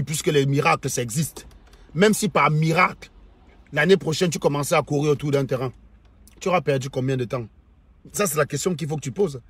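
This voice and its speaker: A man's voice: a little high, breathy edge, no room sound. A middle-aged man with a Cameroonian accent, delivering an opinionated live monologue to online followers in a mocking, combative manner, repeating phrases for emphasis. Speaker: livestream host.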